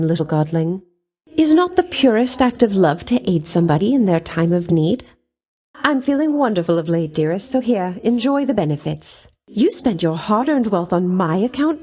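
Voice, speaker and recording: An actress playing a flirtatious, doting goddess of love in a video game, with a coy, honeyed, theatrical delivery. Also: female voice, lowish voice, darkish tone, natural voice. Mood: doting, teasing, then tender, generous. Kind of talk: video game character voice lines.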